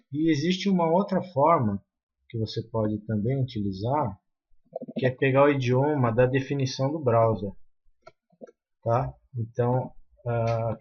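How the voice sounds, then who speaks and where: A man's voice: mid-range voice, a little mellow, husky, highs cut off. A man with a Brazilian accent explaining a coding tutorial step by step, with frequent fillers and restarts. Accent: Brazilian Portuguese. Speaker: programming tutor.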